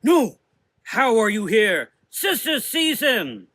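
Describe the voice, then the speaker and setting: A man's voice: very high-pitched, very animated, slow pace, fairly bright tone, pitched above the performer's own voice, full character voice. A man voicing a stern, zealous mother superior of a warrior order, imperious and dramatic, in a gothic audio drama. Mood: outraged.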